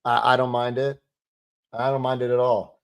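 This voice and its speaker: A man's voice: medium pitch, level tone, moderate pace, smooth. A man guesting on a casual sports podcast, bantering easily and often repeating himself. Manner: easygoing banter with repeated words and restarts.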